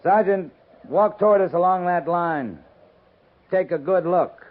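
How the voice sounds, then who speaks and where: An actor playing a shrewd, stern frontier cavalry captain in a 1950s radio western, speaking in blunt, clipped commands. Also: male voice, sits high, unhurried. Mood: commanding. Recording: muffled, faintly noisy 1950s radio recording.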